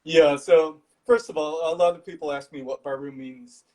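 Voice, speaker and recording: A man from Indonesia, a startup founder, explaining his business in a measured way over a video call, with some fillers. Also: fairly high pitch, fairly even intonation, warm tone.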